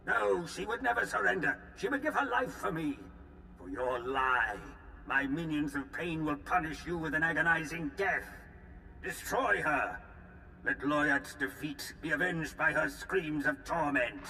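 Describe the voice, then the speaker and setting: An actor playing an arrogant, sadistic Sith torturer who speaks with contempt and menace. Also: male voice, fairly high pitch, lively intonation, measured pace, vocal fry, pushed and bright, slight background noise, heavily acted, poor audio. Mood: furious. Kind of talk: video game cutscene acting.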